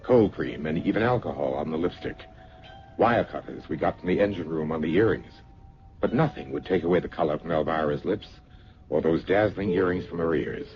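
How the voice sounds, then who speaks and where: Patient wry husband, low voice, lively inflection, vintage radio drama, muffled recording. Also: male voice, brisk, over music. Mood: calm, reassuring. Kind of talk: old-time radio drama acting.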